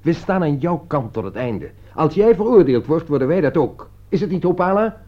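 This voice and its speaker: A man acting an articulate, insistent gentleman who persuades and commands as he defends a friend in a Dutch radio play. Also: a little high, very animated, moderate pace. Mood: firm, loyal. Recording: dull, lo-fi radio play recording.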